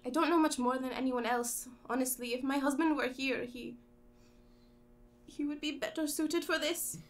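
A woman as a defensive, higher-voiced wife. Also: fairly even intonation, moderate pace, close to an everyday voice. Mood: defensive, pleading. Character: defensive Indian pilot's wife giving testimony. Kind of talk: radio drama acting.

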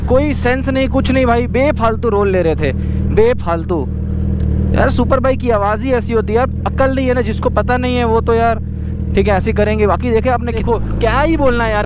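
A man vlogging from his motorbike on a highway, talking casually to the camera in a heated, complaining way. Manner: heated, then venting to camera while riding. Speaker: motovlogger. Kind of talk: casual vlog commentary. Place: outdoors on a highway roadside. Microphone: helmet action camera. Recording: outdoor camera mic with loud traffic noise.

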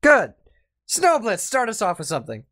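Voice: medium pitch, wildly swooping pitch, normal speed, a bit bright, no room sound. A podcast host who rambles loosely, laughs a lot and keeps the conversation going. The voice is very dry and close, with no room sound at all. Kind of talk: casual podcast chat.

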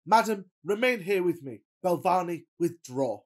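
Florid, amorous Victorian gentleman played by a woman, lowish pitch, very animated, radio farce. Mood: self-assured. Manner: florid, mock-solemn, deliberate declaiming. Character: pompous amorous wealthy bachelor gentleman of thirty-two. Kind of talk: theatrical radio-play farce acting.

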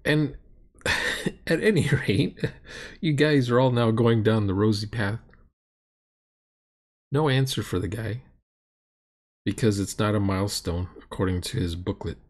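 A middle-aged man comments casually on a tabletop game. His voice is slightly low and rough-edged with huskiness, and his delivery is sardonic and fault-finding. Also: unhurried, long pauses, darkish tone. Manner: sardonic, critical. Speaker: commentator reviewing a roleplaying game session.

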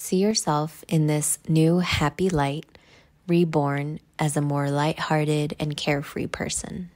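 A woman leading a guided meditation in a measured, instructional way. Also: low voice, little pitch movement, darkish tone.

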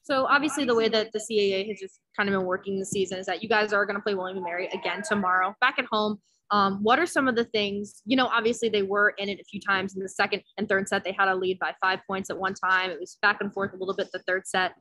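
Brisk, bright-voiced woman reporter. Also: medium pitch, fairly even intonation, dry recording, low-quality recording. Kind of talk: press conference questions.

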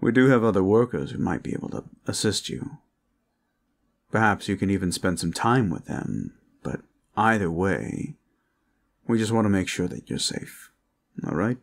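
A man performing an intimate audio roleplay as a protector, speaking in a reassuring, courteous, measured way. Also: lowish voice, expressive, gravelly. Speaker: roleplay voice actor, playing a gentle protector.

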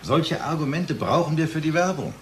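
An actor playing a pushy, jovial company boss in a radio play, with a patronizing, businesslike manner. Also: male voice, a little high, lively intonation, normal speed, a bit bright, slight background noise.

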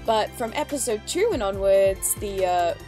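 A woman's voice: medium pitch, lively intonation, normal speed. A woman giving a chatty, enthusiastic, casual reaction commentary as host of her video. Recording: music behind the voice.

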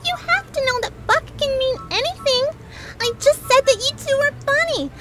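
Bubbly young cartoon pony mare, extremely high squeaky voice, excitable rambler, loud music behind. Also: female voice, normal speed. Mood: blithely chatty.

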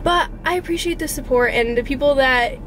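A woman's voice: high voice, fairly quick, fairly bright tone. A woman in her twenties chatting casually and earnestly to camera as a vlogger, informal and mildly profane, recorded inside a car. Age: 20s. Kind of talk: casual confessional chat to camera. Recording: car interior with clear background noise.

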